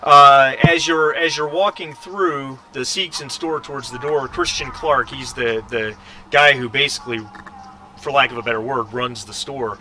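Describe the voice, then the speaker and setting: Male tabletop game master, middle pitch, bright tone, halting improvised narration, casual, slight background noise.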